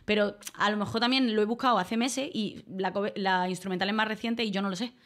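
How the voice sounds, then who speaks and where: A woman's voice: mid-range voice, steady tone, quick pace. Chatty woman streamer from Málaga. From Málaga, Spain. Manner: chatty, grateful, laughs often. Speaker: streamer and voice-over artist. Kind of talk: casual livestream chat reading donations.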